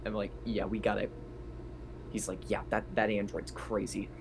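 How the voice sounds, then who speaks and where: A man's voice: on the low side, level tone, moderate pace, creaky, background noise. A man improvising as a player in a tabletop roleplaying game, talking casually.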